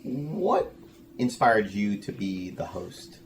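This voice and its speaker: A man's voice: fairly low pitch, very animated, takes it slowly. A man hosting a casual podcast interview, talking in a wordy, emphatic way with repeated phrases. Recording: noticeable background room noise.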